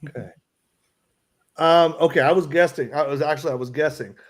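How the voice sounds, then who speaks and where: A man's voice: a little high, brisk. A man co-hosting a live stream over a video call, friendly and chatty, often restarting sentences. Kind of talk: casual live-stream chat.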